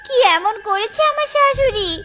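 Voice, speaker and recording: A woman's voice: very high voice, normal speed, voice pushed up. A woman voicing an earnest, upright young daughter-in-law in a cartoon, emphatic and melodramatic. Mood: shocked, insistent. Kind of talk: cartoon story voice acting. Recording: music behind the voice.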